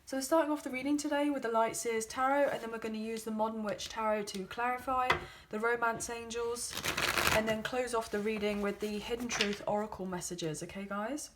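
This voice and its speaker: Croaky-voiced woman doing a chatty tarot reading on her phone, lots of ums. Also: fairly high pitch, steady tone, brisk, slight background noise. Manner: chatty with many fillers. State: hoarse. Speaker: tarot card reader.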